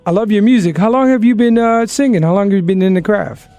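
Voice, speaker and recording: A man's voice: high voice, quick pace, dark tone, soft background music. A male radio co-host who eagerly cuts in with questions for the guest during a live interview.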